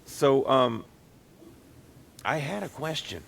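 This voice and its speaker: A middle-aged man hosting a casual interview, often halting and repeating words as he gathers his thoughts. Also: animated, gravelly, fairly bright tone. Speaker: podcast host and interviewer. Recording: low rumbling background noise.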